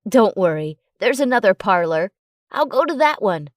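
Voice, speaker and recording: A woman's voice: mid-range voice, very animated, normal speed, no room sound, studio quality, natural voice. A woman voicing a playful, affectionate young male werewolf boyfriend who teases and reassures, in audiobook dialogue. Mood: soothing, affectionate. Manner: teasing, affectionate.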